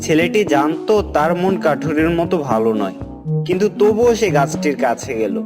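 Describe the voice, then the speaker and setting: A man narrating a moral cartoon story in an expressive storybook tone. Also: a little high, normal speed. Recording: music playing behind.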